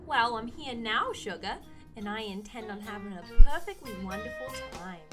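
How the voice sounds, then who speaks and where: A woman's voice: a little high, expressive, normal speed, loud music behind the voice, natural voice. An actress playing a gushing, melodramatic young woman in a radio murder mystery. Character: gushing, theatrical young nurse, secretly a murderess. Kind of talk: old-time radio murder mystery acting.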